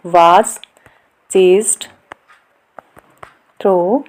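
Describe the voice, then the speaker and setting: A woman with an Indian accent explaining a lesson step by step as a teacher, reading out examples slowly and deliberately. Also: on the low side, fairly even intonation, a little mellow. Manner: instructional, reads example sentences slowly and deliberately. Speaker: grammar teacher. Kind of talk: step-by-step lesson explanation mixing Hindi and English.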